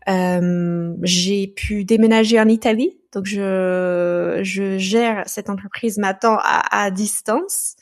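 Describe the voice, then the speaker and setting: A woman from the United States, a podcast guest talking through her experiences in a thoughtful, halting way with frequent restarts. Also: medium pitch, fairly even intonation. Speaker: podcast guest, founder of a training company. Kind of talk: casual podcast conversation.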